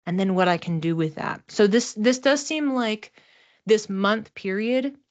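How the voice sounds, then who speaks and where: Woman, mid-pitched, intuitive reader and coach. Encouraging, emphatic, informal. Slightly muffled lo-fi capture. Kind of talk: informal personal oracle card reading. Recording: slightly dull, lo-fi recording.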